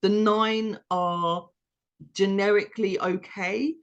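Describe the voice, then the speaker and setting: A British woman co-hosts a podcast in a voice of middling pitch. She explains her ideas in a measured, reflective way and builds each point step by step. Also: steady tone, highs cut off. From United Kingdom. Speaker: podcast co-host.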